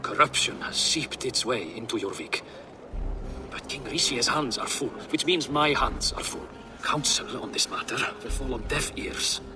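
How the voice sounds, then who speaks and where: A man's voice: mid-range voice, lively intonation, normal speed, background noise, close to an everyday voice. An actor playing a burdened old Viking warrior and councilman, hearty but wary, in a video game cutscene. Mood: burdened, stern.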